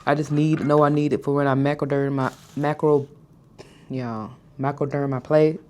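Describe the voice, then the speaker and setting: A woman in her thirties chatting casually to her followers in a skincare vlog. She stutters at times, mispronounces some words, and slows her speech down on purpose. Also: low voice, little pitch movement, a little mellow. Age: 30s. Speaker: vlogger. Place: hotel room, indoors.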